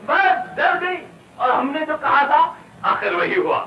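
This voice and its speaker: A man's voice: extremely high pitch, big pitch swings, slow pace, muffled recording, heavily acted. An actor playing an intense, tormented, devoted man in old Hindi film melodrama, speaking with theatrical emphasis and repetition. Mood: feverish joy. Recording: echoey, distant, noisy old film soundtrack.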